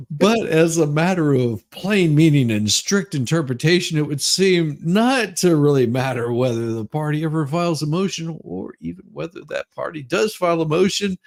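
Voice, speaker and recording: A man's voice: on the high side, expressive, normal speed, gravelly. A man doing a playful, theatrical dramatic reading of a legal document, with casual asides. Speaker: co-host reading a court ruling aloud.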